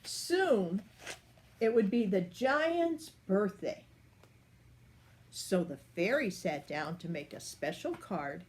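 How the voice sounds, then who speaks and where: An older woman reading a picture book aloud for children in an expressive, unhurried way. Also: on the low side. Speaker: storyteller.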